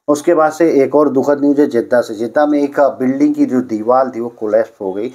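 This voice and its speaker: Male news vlogger, mid-pitched and mellow, conversational and explanatory, English words mixed in. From Uttar Pradesh, India. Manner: explanatory, repeats and rephrases points, mixes in English words. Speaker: vlogger presenting news updates. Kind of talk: conversational news roundup addressed to viewers.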